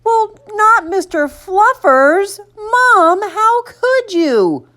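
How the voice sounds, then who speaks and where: A woman voicing a stubborn, pleading young boy in a children's storybook, with melodramatic, drawn-out delivery. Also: very high-pitched, sing-song, fairly bright tone, pitched above the performer's own voice, exaggerated voice. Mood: pleading protest.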